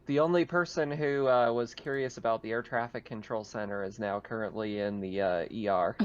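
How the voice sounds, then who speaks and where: A man's voice: on the low side, normal speed, slight background noise. A man explaining things casually as game master of a tabletop roleplaying session. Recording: dull lo-fi voice chat mic.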